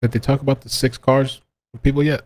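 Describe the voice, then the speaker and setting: A man's voice: medium pitch, little pitch movement, fast talker, a little mellow. A man speaking in casual conversation.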